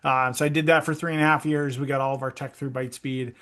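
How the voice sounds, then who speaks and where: A man in his thirties with an Upper Midwest accent, chatting casually as an interview guest, relaxed and friendly with plenty of ums. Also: mid-range voice, fast. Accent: Upper Midwest American. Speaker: guest, esports director.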